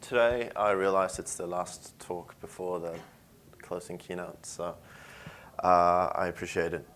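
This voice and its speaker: A man in his thirties with a Swedish accent presents a technical talk to a conference audience. His voice sits low, and his delivery stays conversational, with frequent filler sounds between phrases. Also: level tone, unhurried, fairly bright tone. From Sweden. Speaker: conference speaker.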